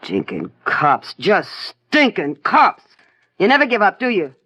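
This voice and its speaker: A woman's voice: medium pitch, huge pitch swings, unhurried, fairly bright tone, breathy edge, no echo, exaggerated voice. Defiant, cynical woman criminal, muffled recording. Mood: bitter. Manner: sardonic, contemptuous. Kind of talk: 1950s radio crime drama acting. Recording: dull old radio recording, dry.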